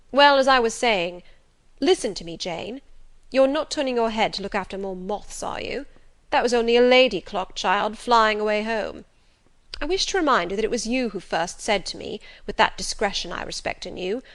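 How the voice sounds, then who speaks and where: A woman voicing an imperious, teasing middle-aged gentleman in an audiobook, with formal, pompous and emphatic delivery. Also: medium pitch, natural voice. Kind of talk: audiobook character dialogue.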